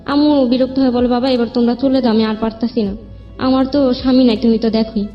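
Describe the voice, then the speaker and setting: High-pitched young Bangladeshi woman, muffled audio. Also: steady tone, quick pace. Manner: colloquial, rambling narrative. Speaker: guest telling her life story. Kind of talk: personal storytelling in an interview. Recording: muffled lo-fi audio, music behind. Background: background music.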